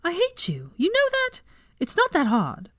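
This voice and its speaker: A woman's voice: extremely high pitch, wildly swooping pitch, unhurried, fairly bright tone, dry recording, clean studio sound, pitched above the performer's own voice, heavily acted. A woman voicing a playful, bossy young witch in an audiobook, insistent and exclaiming, with teasing false sweetness. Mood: exasperated.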